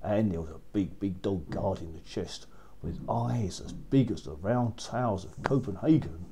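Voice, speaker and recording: A man's voice: deep voice, normal speed, dark tone, a little hiss or hum. A man narrating a fairy tale for children as a storyteller, repeating phrases for effect.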